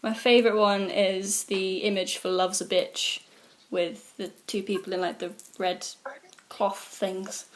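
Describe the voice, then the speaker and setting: A woman's voice: mid-range voice, level tone, a little slow. A young woman from the Channel Islands hosting a casual interview, chatting loosely with lots of "like" fillers. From Jersey, Channel Islands. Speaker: podcast interviewer.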